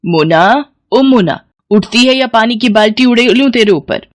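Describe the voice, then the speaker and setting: A woman's voice: fairly high pitch, lively intonation, unhurried, no echo. A woman voicing an exasperated middle-aged mother in a story. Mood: exasperated scolding. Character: scolding, worn-out middle-aged village mother, respectful to elders.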